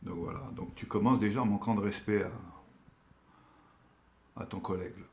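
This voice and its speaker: A man's voice: on the low side, fairly even intonation, normal speed, a little mellow, reverberant, a little hiss or hum. A man of Algerian origin talking casually in an emphatic, admonishing, colloquial way, as if setting someone straight in an online video. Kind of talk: casual opinion rant to camera.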